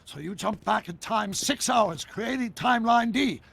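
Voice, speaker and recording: An actor playing an eccentric older American scientist in a video game, explaining things in a wordy, theatrical way with a gravelly voice. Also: male voice, sits high, moderate pace, a bit bright, no echo, full character voice. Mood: brisk lecturing. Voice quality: gravelly, husky. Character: eccentric older male scientist inventor, excitable and secretive. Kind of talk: scripted video game dialogue.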